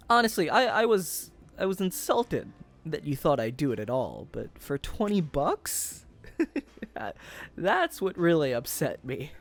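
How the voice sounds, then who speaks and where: Man acting as wistful former sweetheart, unhurried, slightly gravelly, self-deprecating, hesitant, intimate boyfriend audio roleplay. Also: on the high side, wildly swooping pitch, heavily acted. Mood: teasing, nostalgic. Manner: hesitant, halting, chuckles through confessions.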